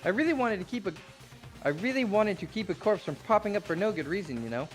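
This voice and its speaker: A woman's voice: medium pitch, animated, fast, music in the background, close to an everyday voice. A woman voicing a smug, mocking, murderous robot teddy bear mascot from a visual novel, mock-cheerful and taunting. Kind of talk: amateur dramatic reading of a visual novel.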